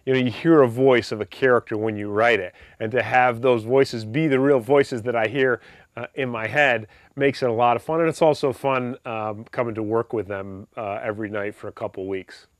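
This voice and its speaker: A man's voice: medium pitch, steady tone, normal speed, no room sound. A man speaking as an author and audiobook narrator, close on a studio microphone. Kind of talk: scripted narration and informal commentary.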